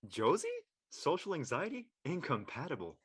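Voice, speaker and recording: A woman's voice: low-pitched, sing-song, moderate pace, slightly rough, exaggerated voice. A woman playing a cocky, teasing young college bro in an audio drama, brash and slangy. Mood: needling. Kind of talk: acted audio drama dialogue.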